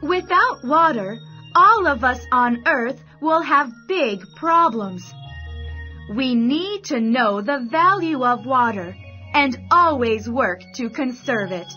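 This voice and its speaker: Female bedtime-story narrator, bright and deliberate, slightly dull recording over a light music bed. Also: high voice, lively intonation. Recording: dull sound, soft music behind.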